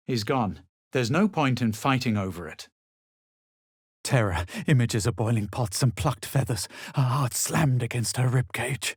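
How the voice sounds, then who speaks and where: A man voicing a smug, self-assured adventurer hero in a fantasy video game, with a husky voice and a measured, dramatic delivery. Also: mid-range voice, fairly bright tone, dry recording, clean studio sound, exaggerated voice. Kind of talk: video game voice lines, narration and spell calls.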